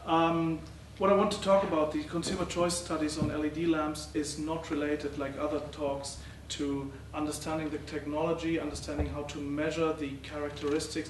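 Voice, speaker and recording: A middle-aged man giving a conference talk as a professor presenting research, speaking haltingly with many uh and um fillers. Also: fairly high pitch. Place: lecture hall. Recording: roomy, distant, faint noise.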